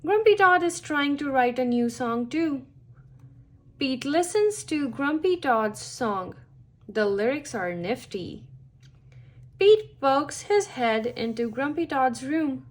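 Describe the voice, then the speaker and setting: A woman reading a picture book aloud to young children, with expressive, deliberate phrasing. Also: sits high. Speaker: children's story reader.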